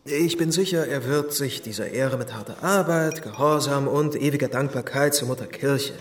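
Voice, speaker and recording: Man as deliberating senior cleric, radio drama: medium pitch, formal, authoritative, pondering. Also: slight background noise, lightly acted. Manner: pondering, pausing with hums, formal. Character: commanding, deliberating abbot dictating a letter.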